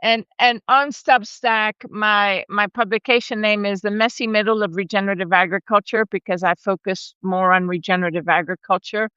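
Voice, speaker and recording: A woman in her forties with a bright, crisp voice tells her story in a chatty way and laughs often. She grew up in Argentina and Brazil and speaks on a live video call. The sound is dry and a little dull. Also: mid-range voice, fairly even intonation, moderate pace, few pauses, dry recording. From born in Argentina, raised in Brazil. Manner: warm, chatty, laughs often, frequent restarts. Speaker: regenerative rancher and holistic management educator. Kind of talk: casual conversational storytelling. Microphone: video call microphone.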